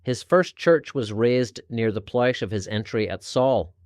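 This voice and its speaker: A man narrating a scripted historical religious article in a formal, measured, oratorical way. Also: mid-range voice, animated, a little mellow, no echo, studio quality. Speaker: narrator reading an article aloud.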